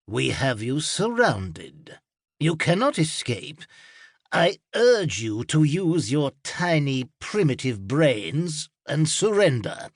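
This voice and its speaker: A cold alien major , voiced by a man reading a storybook, who issues threats at a slow, deliberate crawl. His pitch swings widely between lines. The sound is dry and close. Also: dry recording, full character voice. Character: alien male army major, cold and menacing.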